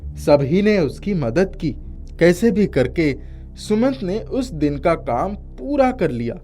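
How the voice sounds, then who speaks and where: A man's voice: sits high, big pitch swings, slow pace, warm tone, faint music behind. A man narrating a scripted moral story as a storyteller.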